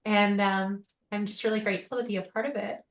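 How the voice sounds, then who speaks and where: A woman's voice: medium pitch, steady tone, a little fast. A woman speaking tenderly and gratefully over a video call. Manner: tender, soft-spoken, grateful. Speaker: guest singer. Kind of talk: casual chat on a video call. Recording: echoey room.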